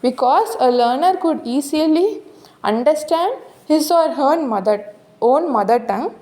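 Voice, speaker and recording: A woman with a distinctly high voice and a South Indian accent gives a lesson. She reads out exam questions and options, then explains each answer step by step in an instructional manner. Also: animated, a little slow, a little mellow. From Tamil Nadu, India. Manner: explanatory, checks with "right?" and "okay". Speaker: teacher.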